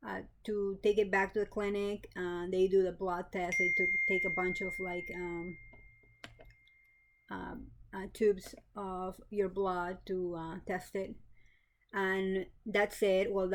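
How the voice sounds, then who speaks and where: A woman in her thirties from Peru, casually telling her own story with many pauses and restarts, plain and matter-of-fact. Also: medium pitch. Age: 30s. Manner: hesitant, many fillers and restarts. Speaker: kidney donor. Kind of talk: casual personal vlog.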